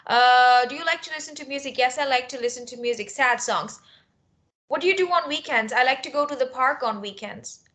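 Bright, high-pitched young woman teaching. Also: fairly quick. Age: young adult. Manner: direct, instructive, short clear questions. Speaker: English teacher and mock test interlocutor. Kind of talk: spoken test interview and coaching.

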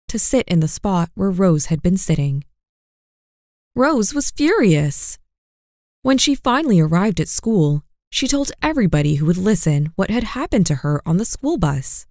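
A woman narrates a children's story in a slow, deliberate way, her pitch climbing and dipping with every line. Her voice sits a touch low for a woman, and the dry, close recording has no room around it. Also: a little mellow, no echo.

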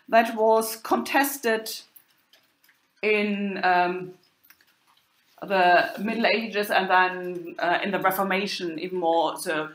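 Woman lecturer, unhurried, pausing often, roomy echoey recording. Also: mid-range voice, a bit bright. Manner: hesitant, many fillers and pauses. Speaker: university lecturer. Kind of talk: recorded lecture over slides. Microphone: recorded voice-over for slides. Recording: roomy echo.

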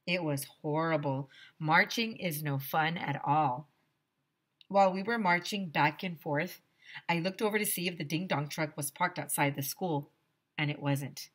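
A woman in her thirties, a teacher reading a children's book aloud in a deliberate, dramatic way on a headset mic. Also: sits low, a bit bright. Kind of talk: expressive children's storybook read-aloud. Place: small room decorated as a classroom.

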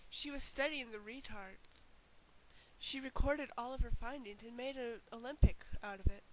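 A perky young female game character voiced by a woman in a parody dub. She is casual and high-pitched, recorded at home with faint hiss. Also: moderate pace, a little mellow, lightly acted, rough recording. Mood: explanatory.

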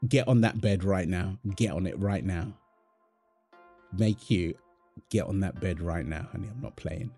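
A man's voice: low voice, animated, slow, long pauses, a little mellow, soft background music, full character voice. A man playing a playful, doting husband in an intimate audio roleplay, teasing and affectionate, full of exclamations. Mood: playful, commanding. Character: playful, doting husband apologizing to his pregnant wife.

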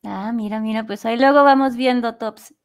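A woman with a Latin American Spanish accent chats casually as a guest. Her voice sits a bit high, and her way of talking is playful and chatty. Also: steady tone, moderate pace, smooth. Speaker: guest, amateur voice actress. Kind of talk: casual interview chat.

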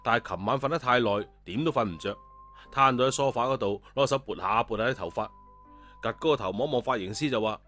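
A male storyteller reads an audio tale in everyday colloquial language. His voice sits at a medium pitch, with music playing beneath it. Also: quick pace, a bit bright.

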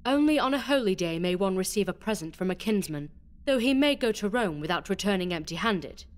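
A man voicing a cold, ironic young noblewoman in a storybook reading, in a raised falsetto. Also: lively intonation, a little fast. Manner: cool, mocking, falsetto.

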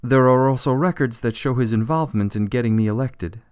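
An actor playing a desperate, disgraced politician in prison in a radio drama, pleading and flustered. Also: male voice, medium pitch, fairly quick, a little mellow, clear, resonant tone, no room sound, clean studio sound, natural voice. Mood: reluctant, confessing.

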